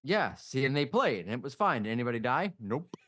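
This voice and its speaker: A male co-host with a middle-pitched voice who trades dry, deadpan jokes. He delivers his teasing flatly. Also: fairly quick, slight background noise. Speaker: regular co-host. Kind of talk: casual podcast banter.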